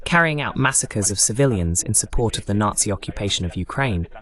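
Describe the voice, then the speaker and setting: Man commentating with a very lively pitch. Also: brisk. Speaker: host of a political commentary broadcast. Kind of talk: live news commentary on war footage.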